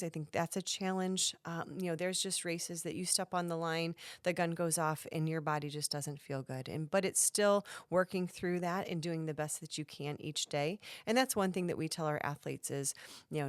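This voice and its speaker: A woman's voice: low voice, no room sound, studio quality. A woman cross country coach from Ohio with an Ohio American accent, answering interview questions thoughtfully with many ums.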